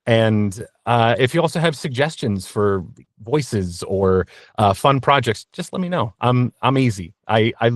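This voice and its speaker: A man's voice: mid-range voice, lively intonation, unhurried, no echo. Casual man chatting, close dry sound. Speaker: interview guest, voice actor. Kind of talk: casual interview chat.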